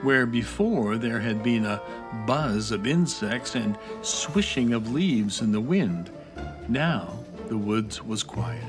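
A man's voice: medium pitch, expressive, slow, over music. A man narrating a scripted children's fantasy adventure story in storybook style.

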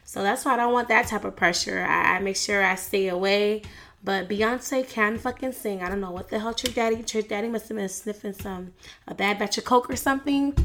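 A woman's voice: mid-range voice, a bit bright. Young woman vlogger, chatty and frank, loose slangy delivery. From United States. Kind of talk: casual celebrity gossip chat.

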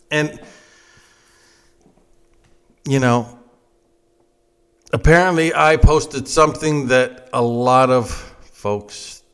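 Slow, animated American man in his fifties. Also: mid-range voice. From United States. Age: 50s. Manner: emphatic, blunt, repeats phrases for emphasis. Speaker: political commentator and host. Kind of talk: opinionated political monologue.

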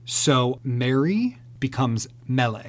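A man's voice: mid-range voice, lively intonation, slow pace. A man co-hosting a podcast, chatting playfully while tasting wine and explaining things at length. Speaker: podcast co-host. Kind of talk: casual chat, wine tasting.

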